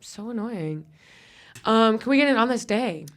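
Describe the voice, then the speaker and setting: A woman's voice: on the high side, animated, normal speed. A woman from Buffalo hosting a comedy podcast in a studio. She chats casually, swears often and jokes around, and her voice is a little worn from vaping. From Buffalo, New York. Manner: crude, jokey, rambling. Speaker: podcast host.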